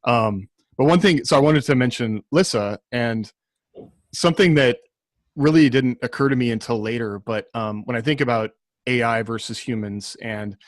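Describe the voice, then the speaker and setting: A man with a mid-range voice talks casually as an author on a discussion panel. He is reflective and halting, working out his thoughts as he goes. The sound is close and dry. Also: medium pitch, no echo. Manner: reflective, halting, thinking aloud.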